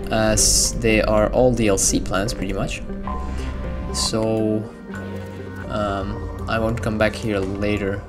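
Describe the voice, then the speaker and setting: A man whose first language is Dutch, talking casually through a gameplay session with frequent ums and soft chuckles. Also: fairly low pitch. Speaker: gamer. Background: video game music.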